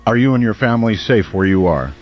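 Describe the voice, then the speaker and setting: A man's voice: on the low side, lively intonation, quick pace, highs cut off, faint music behind, close to an everyday voice. A man playing an earnest, concerned small-town radio host who stays steadfast on air in a disaster drama. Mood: worried, sympathetic.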